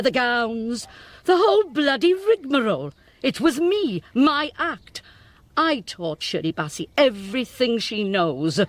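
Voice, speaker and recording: An actress playing a vain, bossy older Welsh singing star with a grand, theatrical manner in a comic radio play. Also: female voice, on the high side, no echo. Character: imperious, vain faded Welsh singing diva, older woman.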